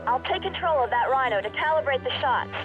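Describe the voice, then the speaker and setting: An actress playing a brisk, clever scientist giving mission updates in a video game, clipped and businesslike. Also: female voice, high voice, expressive, narrow, tinny audio, music in the background. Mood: focused, efficient.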